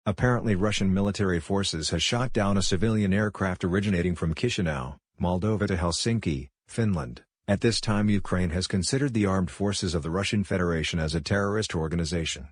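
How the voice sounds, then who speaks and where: A man playing a serious television news anchor reading a special report in a formal, measured manner. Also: sits low, dry recording, studio quality. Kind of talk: scripted news bulletin.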